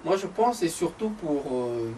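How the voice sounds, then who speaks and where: A man from the DR Congo in a casual conversation who speaks in a measured, reflective way with hesitant pauses. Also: on the high side, sing-song, dark tone, a little hiss or hum. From Democratic Republic of the Congo. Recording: distant mic with faint ambience.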